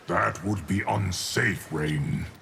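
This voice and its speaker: A very gravelly, low-pitched immortal guardian for a video game. He is stern and formal, weighing each phrase slowly and solemnly. There is a faint noise behind him. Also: exaggerated voice. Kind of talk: video game character dialogue.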